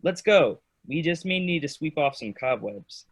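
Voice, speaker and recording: Man as a low-key, reassuring young friend. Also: animated, moderate pace, breathy edge, no room sound, clean studio sound. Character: loyal, easygoing young male friend, reassuring. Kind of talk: staged reading of children's theater fairy tale.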